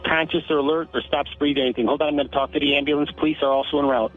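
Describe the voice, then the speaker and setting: Fast-talking male dispatcher on muffled line. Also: on the high side, fairly even intonation. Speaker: emergency call dispatcher. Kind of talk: emergency phone call questioning. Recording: muffled lo-fi emergency phone line.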